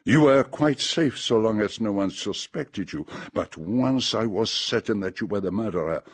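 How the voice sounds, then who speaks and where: A fussy, shrewd older Belgian detective for radio drama. His French-accented voice is low and slightly husky, recorded close and dry. He sets out each point with deliberate, theatrical precision. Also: animated, dry recording. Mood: firm, accusing. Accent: French-speaking Belgian.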